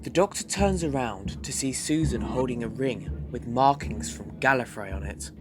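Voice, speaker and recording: A man's voice: mid-range voice, animated, moderate pace. A man narrating a fan audio drama from a script in the third person. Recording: music underneath.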